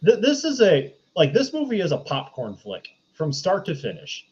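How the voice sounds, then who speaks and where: A man's voice: high-pitched, wildly swooping pitch, moderate pace, crowd noise. A man chatting casually as a review panelist, often restarting his sentences, on slightly dull lo-fi audio. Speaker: podcast panelist reviewing films.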